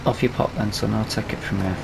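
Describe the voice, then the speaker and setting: A man's voice: fairly low pitch, fairly even intonation, quick pace, gravelly, noisy background. A British man giving casual let's-play commentary, musing aloud to himself as he goes. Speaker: gaming video host.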